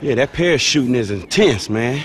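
Actor as animated, skeptical young American hustler. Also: male voice, mid-range voice, moderate pace, natural voice. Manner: slangy, profane, incredulous. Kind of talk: casual street slang dialogue in a video game. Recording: game audio with clear background noise.

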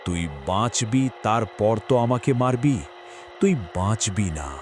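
A stern cartoon tantric played by a male actor with a very low voice. He speaks slowly and commandingly, as if casting spells, with prominent music behind him. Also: close to an everyday voice. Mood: stern, threatening. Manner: slow, commanding, incantatory.

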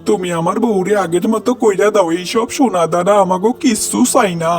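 Man acting a high-voiced pleading village husband. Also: fairly quick, soft background music, close to an everyday voice. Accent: rural Bangladeshi dialect. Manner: pleading, earnest. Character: humble village husband pleading for his wife. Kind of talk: cartoon voice acting.

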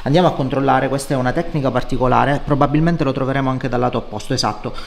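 A man's voice: mid-range voice, steady tone, rapid-fire, creaky. A middle-aged man speaks as a chiropractor in an echoey room with some faint noise. He explains his treatment in a reassuring way and often puts short questions to his patient. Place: living-room-like treatment room indoors. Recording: roomy echo, faint background noise.

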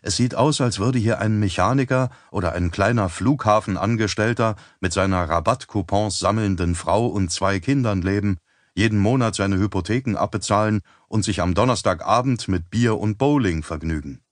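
A man reading an audiobook as narrator in a measured, even storytelling manner on a close studio mic. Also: lowish voice, dry recording. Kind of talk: scripted narration.

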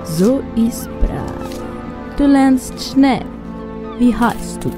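A woman's voice: sits high, huge pitch swings, extremely slow, music in the background, full character voice. A woman playing a menacing, elegant villainess in a radio play, commanding and mocking as she speaks. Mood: mock sweetness. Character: menacing, elegant villainess holding children captive.

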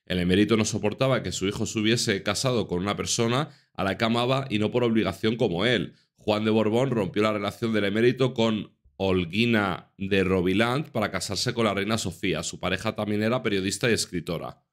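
A man's voice: on the low side, fairly quick, hardly any pauses. A man in his thirties from Spain commenting on news articles to his viewers in an earnest, casual manner, stressing points by repeating them. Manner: earnest, repeats for emphasis, addresses viewers directly. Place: small room indoors.

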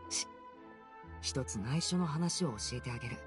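A man's voice: mid-range voice, a little slow, over music, lightly acted. An actor playing a playful, suave young male stage magician in an anime game, talking like a coaxing showman. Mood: playful, confiding. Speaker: character voice actor.

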